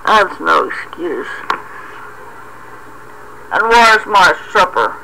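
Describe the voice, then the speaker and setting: A woman's voice: lowish voice, very animated, drawn-out words, vocal fry, sharp tone, exaggerated voice. A woman voicing a rude, abusive drinking husband who barks orders and complains, in an amateur audio play. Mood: gruff, demanding. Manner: barking orders, complaining, belittling. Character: rude, abusive, drunken husband, belittling and demanding. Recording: noisy homemade recording.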